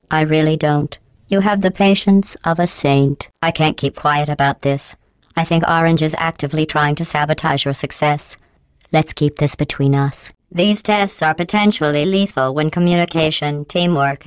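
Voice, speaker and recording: A woman voicing a cold, sarcastic female AI computer that runs a test facility, speaking in a smooth, clipped, synthetic-sounding deadpan with passive-aggressive menace. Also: low-pitched, dry recording. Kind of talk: video game voice clips.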